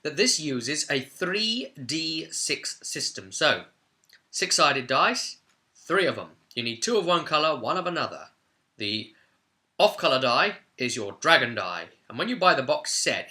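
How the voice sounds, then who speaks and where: A man's voice: on the high side, big pitch swings, a little slow, bright, forceful tone. A man presenting an informal explainer on game rules in an enthusiastic, conversational manner. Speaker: presenter of a tabletop game guide.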